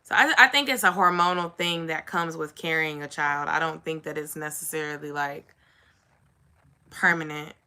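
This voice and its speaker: Young woman vlogger, somewhat raspy, lowish voice, chatty and casual. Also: moderate pace, sharp tone. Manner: chatty, says "y'all" and "like" often. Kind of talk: casual chat to camera.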